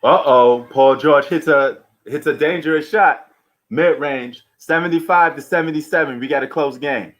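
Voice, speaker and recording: A man's voice: a little high, moderate pace. A man hosting a live sports stream, chatting casually with slangy, bantering commentary. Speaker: livestream sports host.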